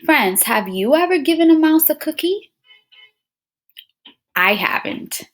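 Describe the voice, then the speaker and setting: Lady teacher doing storytime for little kids, kinda high voice, super singsongy up and down, asks them stuff. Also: measured pace. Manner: expressive, addresses listeners as friends and scholars, checking in with questions. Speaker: teacher reading a picture book aloud. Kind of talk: children's read-aloud with questions to young listeners.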